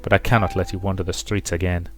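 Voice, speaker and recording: A man voicing a grieving, protective male friend in a visual novel, measured and consoling, with music behind him. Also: sits low, flat delivery, close to an everyday voice. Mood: solemn, consoling.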